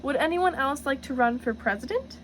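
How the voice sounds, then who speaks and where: A woman's voice: high voice, animated, a little fast, slight background noise. Female schoolteacher as a woman narrator reads her, storybook medium, encouraging announcer manner, very varied intonation.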